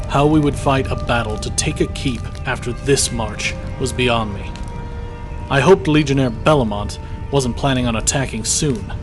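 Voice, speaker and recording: A man playing a weary legion soldier, narrating battle in the first person with solemn, measured delivery in a fantasy audio drama. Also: mid-range voice, lightly acted. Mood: grave. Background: loud dramatic music.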